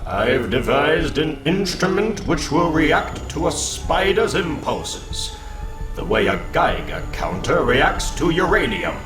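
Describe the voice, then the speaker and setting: A man playing a slow, scheming supervillain. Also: fairly high pitch, very animated, echoey room, music in the background. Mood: calculating. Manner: deliberate, pompous, theatrical. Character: menacing male supervillain, scheming, cold and grandiose. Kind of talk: dramatic comic book reading.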